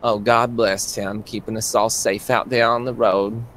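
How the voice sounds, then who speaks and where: A man's voice: fairly low pitch, level tone, unhurried, slight background noise. A man voicing a folksy small-town woman, a sweetly insistent hostess in a tabletop game. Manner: honeyed, folksy, gently insistent.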